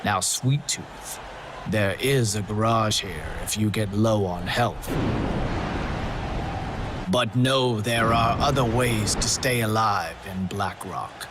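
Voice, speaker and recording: An actor playing a suave, gleefully morbid contest master, briefing players in a video game with deliberate, silky menace. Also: male voice, on the low side, noisy background. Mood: morbid pride. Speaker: contest host. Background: music.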